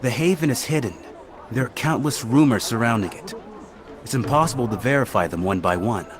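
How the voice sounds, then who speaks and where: An actor playing a courteous, wealthy official in a video game, with a polite and formal manner. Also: male voice, mid-range voice, fairly quick, crowd noise, natural voice. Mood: earnest, measured. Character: courteous, wealthy male official seeking travel companions. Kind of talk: video game cutscene acting. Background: background music.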